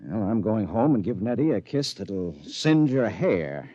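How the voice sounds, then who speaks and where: A man's voice: on the low side, big pitch swings, a little slow, mellow, no echo. A blunt, practical married man who takes charge with a commanding, matter-of-fact tone. It sounds dry and muffled, straight off a 1950s broadcast. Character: practical middle-aged husband friend. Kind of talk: 1950s radio acting. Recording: dull 1950s radio recording.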